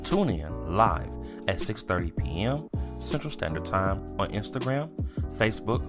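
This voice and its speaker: Man, deep voice, lively pitch, church announcements, loud guitar music behind. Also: unhurried. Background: guitar music bed.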